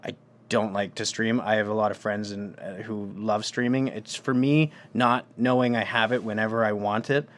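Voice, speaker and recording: A young man in his twenties chats easily as a guest musician. His voice is a touch low, and he talks in a relaxed manner with a ready laugh. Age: 20s. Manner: easygoing, chatty, quick to laugh. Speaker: band member, guest. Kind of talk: casual interview chat.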